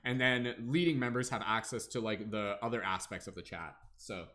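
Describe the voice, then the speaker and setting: A man's voice: on the low side, normal speed, bright, forceful tone. A young man hosting a casual tarot livestream, rambling with many fillers and humming to himself between comments.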